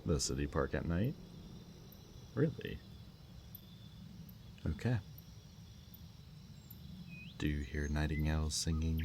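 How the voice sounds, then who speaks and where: A man speaking a gentle, hesitant roleplay monologue to the listener as a soft-spoken villain. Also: very deep voice, expressive, slow, pauses often, noisy background.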